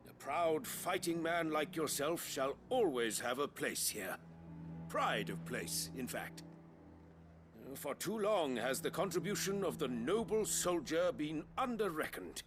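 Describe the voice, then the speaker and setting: An actor playing a haughty noble cardinal turned duke in a video game, pompous, formal and grandiose in manner. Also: male voice, on the high side. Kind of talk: scripted video game drama. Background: music.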